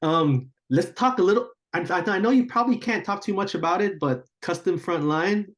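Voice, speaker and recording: A man's voice: high-pitched, animated, fairly quick. A man interviewing a guest in casual chat, friendly, laughing often and stammering into his questions.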